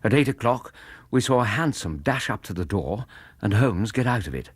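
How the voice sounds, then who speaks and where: An actor playing a steady, kindly English gentleman companion in a radio drama, gravelly, with measured, dry humour. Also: on the low side. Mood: sombre narration. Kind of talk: radio drama acting and narration.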